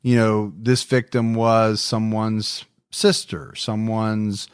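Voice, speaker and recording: A man's voice: lowish voice, flat delivery, slow, clean tone, no room sound, studio quality. A man hosting a true-crime podcast in casual, earnest chat, often repeating words as he stresses a point. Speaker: podcast host.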